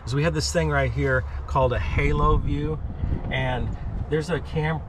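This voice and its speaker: An older man explaining his van in a plain, practical way with many pauses, filmed outdoors at arm's length. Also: a little high, steady tone. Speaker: vlog co-host. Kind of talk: casual vehicle tour. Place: outdoors on a lawn beside a van. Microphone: camera at arm's length. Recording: outdoor noise behind the voice.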